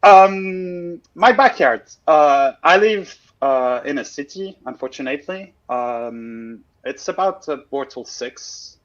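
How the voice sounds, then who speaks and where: A man's voice: a little high, animated, slow, few pauses. A man from Switzerland presenting casually on a livestream, full of fillers and self-deprecating asides. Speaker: guest presenter. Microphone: video call. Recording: dull lo-fi call audio.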